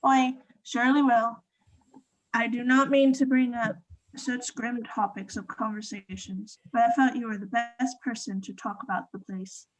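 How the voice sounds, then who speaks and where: A woman's voice: on the high side, fairly even intonation, measured pace, close to an everyday voice, poor audio. A woman acting in a radio play as a kindly, fretful innkeeper, polite and earnest. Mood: worried, polite.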